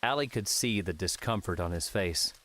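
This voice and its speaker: Casting: male narrator for an adventure audiobook, low-pitched voice, scripted storytelling delivery. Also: moderate pace, fairly bright tone.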